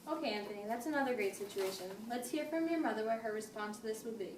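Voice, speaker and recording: A woman playing a patient, firm family therapist, speaking in a measured, formal way in an amateur role-play. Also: fairly high pitch, close to an everyday voice. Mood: firm, reasoning. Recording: noisy echoey room, distant mic.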